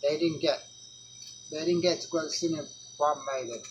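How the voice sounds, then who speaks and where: A man performing a dramatic monologue as a grieving teenage boy who writes a farewell letter to a dead friend, speaking haltingly and repeating words. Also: fairly high pitch, dull audio, noisy background, lightly acted.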